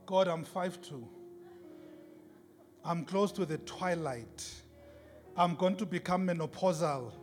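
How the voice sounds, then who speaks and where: A man's voice: fairly high pitch, slow pace, pauses often. A man preaching an impassioned sermon in an emphatic, repetitive, rhetorical style. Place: large reverberant indoor worship space. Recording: hall reverb with music behind.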